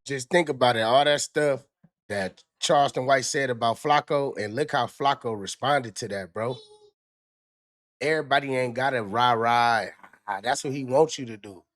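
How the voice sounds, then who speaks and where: A man's voice: medium pitch, normal speed, a bit bright, no echo. Laid-back man commenting, dry sound. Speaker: reaction channel host. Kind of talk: casual commentary.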